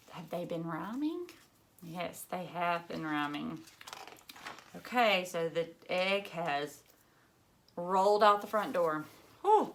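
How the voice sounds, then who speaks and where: Lady reading a kids book out loud, slow and bright, really animated, teacher-like, talks to the kids. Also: on the low side. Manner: animated, emphatic, with gasps and questions to young listeners. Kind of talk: expressive children's read-aloud with asides to listeners.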